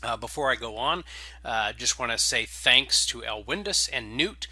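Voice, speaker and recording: A man hosts and narrates with an informal, keen delivery. His voice is very bright and forceful, at an ordinary male pitch. The sound is dry and close. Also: lively intonation, moderate pace, breathy edge, no room sound. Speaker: game writer and host introducing and reading stories. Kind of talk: casual podcast intro, then dramatic scripted narration.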